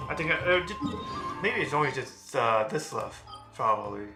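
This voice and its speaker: A man's voice: mid-range voice, lively intonation, moderate pace. A man streaming a video game, commenting casually in a rambling way with lots of fillers. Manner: rambling, with many fillers and restarts. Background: game music.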